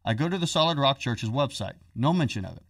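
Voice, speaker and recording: A man's voice: medium pitch, animated, fast talker, gravelly, fairly bright tone, husky, dry recording, studio quality, recorded from a distance. A man hosting a podcast in casual chat, joking and telling a story in a chatty way. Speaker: podcast host.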